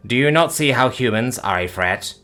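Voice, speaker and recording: A man voicing a calm, armored male alien who speaks formally and without emotion. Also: mid-range voice, moderate pace, soft background music, lightly acted. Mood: calm, detached. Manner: flat, formal, deadpan delivery.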